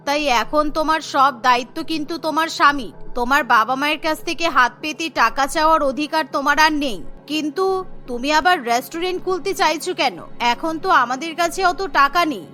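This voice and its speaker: A woman's voice: high voice, bright, forceful tone. A woman voicing a disapproving, traditional middle-aged mother-in-law in a cartoon, firm and admonishing.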